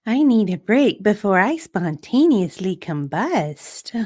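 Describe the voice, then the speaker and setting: A woman's voice: medium pitch, big pitch swings, takes it slowly, dark tone, no room sound, clean studio sound, voice pushed up. A woman voicing a flustered, hesitant young woman in a romance story reading.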